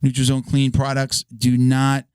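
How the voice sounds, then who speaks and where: Male podcast host, lower-middle casual delivery, slightly hoarse, halting sponsor read, dry close sound. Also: monotone, a little mellow, dry recording. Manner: halting, restarts his read. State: hoarse, losing his voice.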